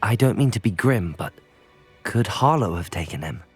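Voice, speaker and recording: A polite, earnest young student wizard in a video game. His voice sits fairly low but moves widely in pitch, with a sympathetic manner and music faintly behind. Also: animated, moderate pace, husky. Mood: concerned, questioning. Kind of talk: video game character acting.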